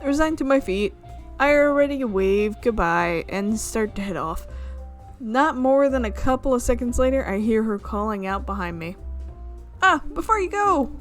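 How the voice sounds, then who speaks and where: A woman's voice: on the high side, moderate pace. A woman reading a visual novel aloud as a let's player, with her own asides. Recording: music behind the voice. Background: game music.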